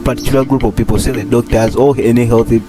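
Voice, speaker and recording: A husky-voiced young man in his twenties, a doctor in training hosting a casual vlog, rambling and repeating phrases with many fillers. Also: mid-range voice, fairly even intonation, quick pace. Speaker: doctor in training, channel host. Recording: clear music playing under the voice.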